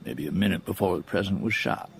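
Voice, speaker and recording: An actor playing a shrewd middle-aged politician in an audio drama, probing and deliberate, with folksy phrasing and a gravelly voice. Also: male voice, sits low. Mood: suspicious, probing.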